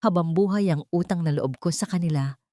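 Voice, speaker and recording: A woman's voice: lowish voice, fairly quick, dark tone, no room sound, clean studio sound, close to an everyday voice. Woman voicing a worried, kindly elderly aunt. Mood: grateful, solemn. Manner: concerned, earnest.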